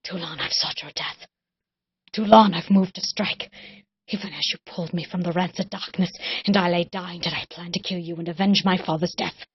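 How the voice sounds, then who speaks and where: A grieving young elf warrior woman, as a woman reader puts her on, torn between vengeance and doubt. She speaks low and husky, often dropping to a whisper. The recording is dry and dull. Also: fairly even intonation, brisk, hardly any pauses, dry recording. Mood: vengeful.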